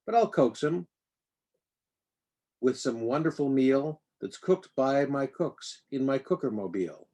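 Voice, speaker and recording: Man reading rhyming storybook, mellow voice. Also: mid-range voice, big pitch swings, unhurried. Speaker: reader of a children's picture book. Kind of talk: expressive read-aloud of rhyming storybook verse.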